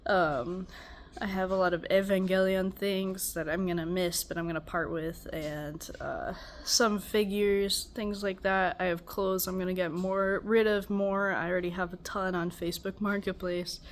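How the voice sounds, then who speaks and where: A woman's voice: on the low side, steady tone, moderate pace, a little hiss or hum. Tired, stuffy young woman rambling at home. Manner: rambling, weary, self-deprecating. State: stuffy, congested. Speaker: vlogger. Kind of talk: casual personal check-in. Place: home, beside a loud air conditioner.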